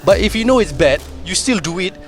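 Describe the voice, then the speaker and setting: A man's voice: high voice, lively intonation, brisk, soft background music, pitched above the performer's own voice. A man voicing a brash male life coach who rants in a scolding, exaggerated Singaporean accent. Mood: mocking rant. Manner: scolding, exaggerated, sing-song.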